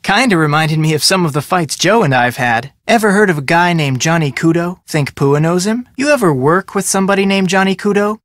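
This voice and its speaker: A man's voice: a little high, lively intonation, fairly quick, few pauses, fairly bright tone, no echo, clean studio sound. A man playing an inquisitive, level-headed young American amateur detective in a video game, speaking in a casual, probing way. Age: young adult. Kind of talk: scripted game dialogue.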